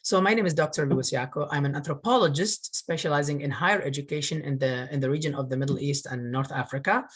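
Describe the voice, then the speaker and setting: A man from northern Iraq giving a webinar over a video call, earnest and grateful, often pausing with fillers. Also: a little high, a bit bright, breathy edge. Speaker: webinar presenter, career coach. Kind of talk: presentation.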